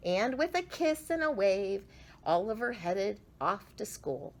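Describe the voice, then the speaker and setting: A woman reading a picture book aloud to children, expressively, with drawn-out emphasis. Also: medium pitch, big pitch swings. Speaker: children's storyteller.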